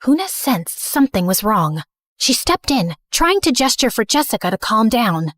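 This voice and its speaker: A woman's voice: fairly high pitch, big pitch swings, normal speed, a bit bright, no echo. A woman playing a hurt, guilt-ridden teenage girl who narrates her own story in a confessional, dramatic first-person style. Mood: narrating. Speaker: narrator and main character.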